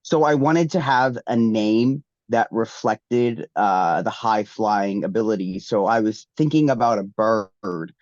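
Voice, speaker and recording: A man's voice: lowish voice, expressive, measured pace, few pauses, a little mellow, no echo. Congested man rambling with ums. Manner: rambling, many ums and restarts. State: congested, getting over the flu. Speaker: guest wrestler. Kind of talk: casual interview answers. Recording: dull, dry lo-fi sound.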